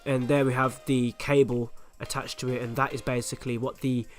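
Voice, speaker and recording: Guy, raspy, hoarse from flu, chatty and upbeat, mid-range voice, desktop usb mic at home, faint music behind. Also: mid-range voice, level tone, fairly quick. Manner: chatty, upbeat, direct to viewers. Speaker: YouTube channel host. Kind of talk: casual product review and unboxing. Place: at home, indoors. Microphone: USB desktop microphone.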